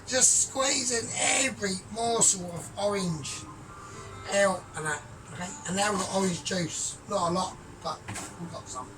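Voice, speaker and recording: A man's voice: high voice, unhurried. Rambling, sweary man cooking far from mic. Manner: softly spoken, often trailing off quiet. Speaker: home cook vlogger. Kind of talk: casual cooking vlog. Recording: distant mic with music behind.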